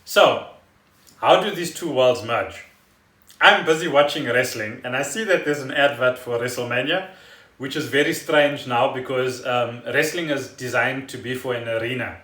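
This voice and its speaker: A man co-hosting a casual podcast, explaining things in an animated, storytelling way. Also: mid-range voice, normal speed. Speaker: podcast co-host. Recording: roomy echo, faint music behind.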